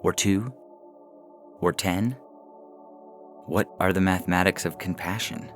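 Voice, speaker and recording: A man's voice: low-pitched, fairly even intonation, a little slow, fairly bright tone, soft background music. A man reading science fiction stories aloud as scripted narration for a podcast.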